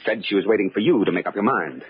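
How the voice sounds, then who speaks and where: An actor playing a shrewd, brisk amateur sleuth offering help in an old-time radio mystery, animated and conspiratorial. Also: male voice, lowish voice, slight background noise, natural voice. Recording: tinny old radio recording.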